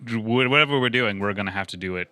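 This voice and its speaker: A man with a fairly low voice and a bright, forceful edge. He improvises casually as a player in a roleplaying game. His talk is halting and peppered with swearing.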